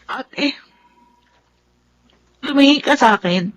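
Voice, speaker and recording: A Filipino woman playing a devoted, excitable younger sister in a radio drama, earnest and emotional. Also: high-pitched, slow pace. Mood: pleading, comforting.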